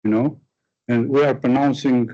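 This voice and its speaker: A man's voice: mid-range voice, fairly even intonation, moderate pace, mellow, muffled recording. A man from Romania with a Romanian accent, answering a student's question after a lecture and searching for words in English, his second language. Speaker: visiting lecturer.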